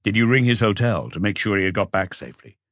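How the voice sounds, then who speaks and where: A man voicing a calm, probing police inspector in an audiobook, with measured, terse questioning. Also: lowish voice, no room sound, studio quality.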